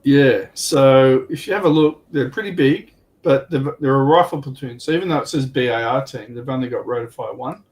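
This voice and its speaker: A man chatting casually as he explains tabletop game rules, with halting, hesitant delivery. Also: mid-range voice, a little mellow. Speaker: guest on a tabletop wargaming livestream. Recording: clearly noisy background.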